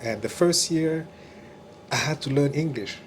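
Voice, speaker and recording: A man from the Democratic Republic of Congo, a pastor answering interview questions in a hesitant way with many pauses. His is Kiluba. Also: fairly high pitch, a little hiss or hum. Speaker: pastor, church regional staff.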